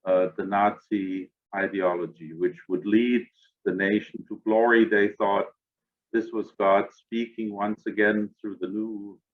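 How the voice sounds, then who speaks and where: This is an older man with a clear German accent, speaking slowly in a low register. He answers reflectively and often stops to gather his thoughts. His voice comes through a narrow, phone-like line. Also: level tone. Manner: measured, thoughtful, with frequent "uh" and "um" pauses. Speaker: guest, academy director.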